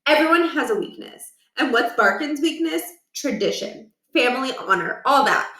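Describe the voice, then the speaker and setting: A firm, sure-of-herself schoolgirl with plans read by a woman, pitched high and animated. It sounds like a storybook read in an echoey room. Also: moderate pace. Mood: assured. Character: confident, scheming, firm schoolgirl organizer. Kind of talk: children's storybook character voice. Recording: roomy, echoing room.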